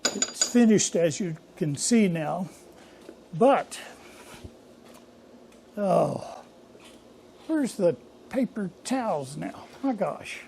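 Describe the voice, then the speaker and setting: An older man hosting a casual workshop vlog from his home machine shop, talking in a hoarse, rambling, folksy way. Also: high-pitched, animated, drawn-out words, pauses often, darkish tone, slight background noise. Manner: rambling, folksy and self-deprecating. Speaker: workshop channel host.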